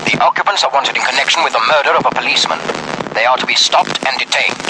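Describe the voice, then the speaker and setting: A man's voice: mid-range voice, fast, natural voice. A man as clipped, commanding police dispatcher. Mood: brisk, commanding. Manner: clipped, formal, authoritative. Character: brisk, commanding male police radio dispatcher. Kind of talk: old radio drama acting. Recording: noisy vintage radio drama recording.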